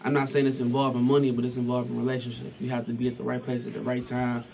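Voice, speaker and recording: A man's voice: medium pitch, completely flat delivery, fast talker, vocal fry, mellow, slight background noise. A man in his thirties, a DJ, insistently making his points in a documentary interview. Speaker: DJ and radio personality. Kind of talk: documentary interview, giving advice. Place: radio studio. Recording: slightly distant mic, faint music behind.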